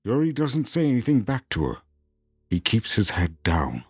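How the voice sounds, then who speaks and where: A man's voice: fairly low pitch, wildly swooping pitch, normal speed, darkish tone, breathy edge, no echo, clean studio sound. A man narrating an audio drama in a scripted, dramatic storytelling style. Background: music.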